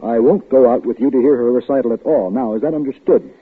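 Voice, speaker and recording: An actor as a husky, dry-witted radio dad. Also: male voice, mid-range voice, quick pace, lightly acted. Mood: stern. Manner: teasing, firm and paternal. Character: dry-witted father, patient then stern. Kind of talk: 1950s radio drama acting. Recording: tinny old radio broadcast.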